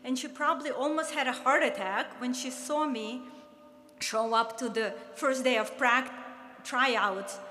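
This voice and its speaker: A woman's voice: high voice, a little slow, sharp tone, slight background noise. A woman in her fifties with a Russian accent, earnestly reminiscing in a ceremony speech. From Soviet Union. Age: 50s. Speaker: former player giving an induction speech. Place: large hall at an awards ceremony. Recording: echoey hall with faint ambience.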